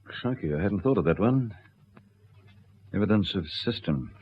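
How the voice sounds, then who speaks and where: Deep-voiced, laconic policeman. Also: expressive, moderate pace. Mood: gloomy, musing. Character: gloomy, plain-spoken Australian policeman. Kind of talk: radio drama acting. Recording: dull lo-fi radio recording, faint noise.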